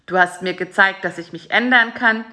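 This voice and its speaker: A woman voicing a fat male spider who speaks calmly in a storybook reading. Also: medium pitch, normal speed, lightly acted. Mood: warm. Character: fat male spider, gruff but softening.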